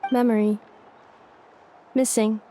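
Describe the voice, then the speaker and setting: A woman playing an emotionless, literal robot messenger in a video game, speaking in deadpan, halting fragments. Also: a little high, very noisy. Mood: blank, confused. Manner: clipped, deadpan, halting one- and two-word statements. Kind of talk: video game character dialogue.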